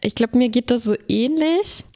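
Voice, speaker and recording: Creaky-voiced chatty woman. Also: fairly high pitch, expressive, normal speed, over a noisy crowd. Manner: chatty, many fillers, frequent agreeing hums. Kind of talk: casual conversation.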